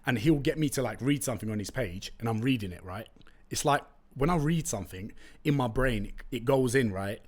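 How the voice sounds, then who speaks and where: A young man with a London accent and Turkish as his first language hosts a podcast on his own. His voice sits at a middle pitch, close on a studio mic. He tells stories in a chatty, slangy, animated way. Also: fairly quick, husky. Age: young adult. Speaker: podcast host. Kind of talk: casual solo podcast chat. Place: room with brick walls, indoors. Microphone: close studio condenser mic with pop filter.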